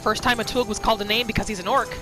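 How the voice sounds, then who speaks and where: A woman's voice: medium pitch, racing. A woman doing casual, playful gameplay commentary, narrating her roleplay as she goes and talking to herself. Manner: playful, expressive, talking to self and game. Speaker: gamer narrating own roleplay playthrough. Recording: game music behind the voice. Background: video game music.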